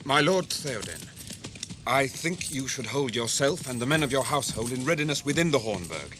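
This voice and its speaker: An actor in a radio drama playing a grave, decisive warrior captain with a measured, commanding manner. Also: male voice, medium pitch, lively intonation, background noise, lightly acted. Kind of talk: 1980s radio drama acting.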